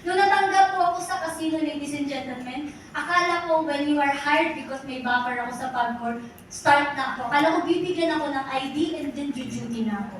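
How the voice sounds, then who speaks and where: A Filipino woman in her forties with a high voice delivers a personal testimonial to a crowd, moving between English and Tagalog. She keeps calling out to the ladies and gentlemen and asking rhetorical questions. She sounds far from the mic in a very echoey hall with noise behind her. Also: fast. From Philippines. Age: 40s. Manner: addresses the audience directly again and again. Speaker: motivational speaker at a company event. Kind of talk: testimonial speech to an audience. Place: large echoey event hall.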